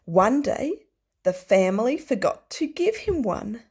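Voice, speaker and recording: A woman teacher reading aloud to young children, explaining things simply and deliberately and putting questions to them. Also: fairly high pitch, big pitch swings, darkish tone. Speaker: early primary school teacher.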